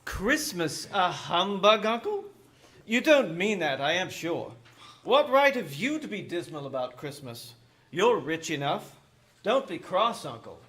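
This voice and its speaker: An actor voicing a good-natured, earnest young nephew in a theatrical radio play. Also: male voice, high-pitched, big pitch swings, unhurried, fairly bright tone, heavily acted. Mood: teasing, cheerful. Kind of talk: theatrical radio drama acting.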